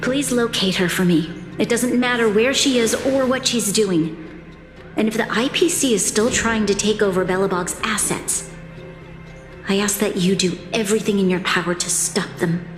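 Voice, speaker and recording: A woman's voice: medium pitch, normal speed, close to an everyday voice. A woman playing an earnest, resolute young ruler in a video game, formal, dignified and sincere. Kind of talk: video game cutscene acting. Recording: echoey hall, music behind.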